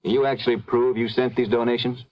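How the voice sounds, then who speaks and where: An actor playing a curt, skeptical police detective partner in a vintage radio crime drama, impatient and doubting. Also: male voice, mid-range voice, little pitch movement, fast, darkish tone, lightly acted, rough recording.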